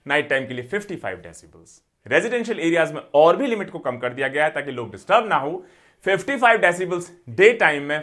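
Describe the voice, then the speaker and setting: Male lecturer, Indian accent, slightly high register, very animated intonation, didactic delivery, code-mixing English terms. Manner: didactic, repeats and lists points for emphasis. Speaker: teacher for civil service exam aspirants.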